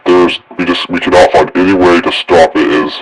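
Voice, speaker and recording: A man playing a grave emergency alert announcer who delivers warnings in a halting, repetitive way. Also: low voice, little pitch movement, breathy edge, poor audio. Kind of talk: improvised emergency broadcast announcement.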